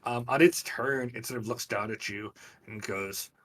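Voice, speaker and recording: A man's voice: on the low side, moderate pace, distant mic. A man acting as game master, casually narrating players' actions and scenes in a tabletop game.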